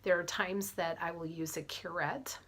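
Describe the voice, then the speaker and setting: A middle-aged woman with a cold, chatting to camera as she tells a story, often dropping into soft whispered asides. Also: fairly low pitch, fairly even intonation, moderate pace. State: has a cold. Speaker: vlogger, physician assistant and fitness coach.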